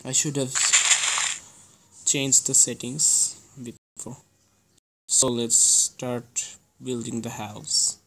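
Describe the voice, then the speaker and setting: Slow Bangladeshi gamer, very noisy recording. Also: medium pitch, steady tone. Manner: halting, non-fluent English. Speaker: gaming video creator. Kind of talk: casual gameplay commentary.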